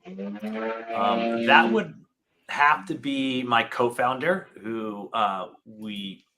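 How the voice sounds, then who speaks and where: American male guest, bright crisp voice, heartfelt and deliberate. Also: medium pitch. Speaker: podcast guest, startup founder. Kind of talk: casual interview answers. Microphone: video call.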